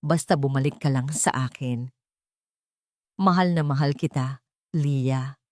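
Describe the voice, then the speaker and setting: A woman's voice: rumbling low, a little slow, no room sound, studio quality, pitched below the performer's own voice. A woman voicing a desperate, pleading jailed ex-husband in an audio story.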